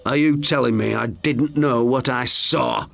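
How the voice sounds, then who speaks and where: A man's voice: fairly low pitch, lively intonation, normal speed, lightly acted. A man voicing a resentful grown man who scoffs and growls in a storybook reading. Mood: bitter. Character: bitter, obsessed grown man.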